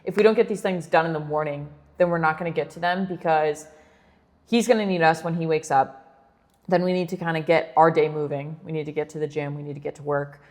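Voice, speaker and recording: Woman co-host, thirties, low voice, chatty and laughing, roomy reverb. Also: steady tone, fairly quick. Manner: chatty, laughs often, many fillers. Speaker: podcast co-host. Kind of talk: casual chat.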